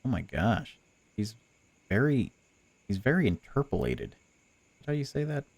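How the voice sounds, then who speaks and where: A man's voice: mid-range voice, sing-song, unhurried, creaky, a little mellow, no room sound. A man hosting a gaming video, reading a visual novel aloud and breaking off for wry, joking asides.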